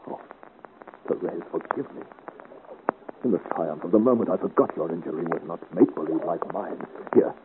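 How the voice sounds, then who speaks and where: An actor playing a gallant, bold young Breton adventurer in a radio serial, earnest and formally courteous. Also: male voice, deep voice, normal speed, long pauses, lightly acted. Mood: tender, reassuring. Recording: noisy, narrow-band 1950 radio serial.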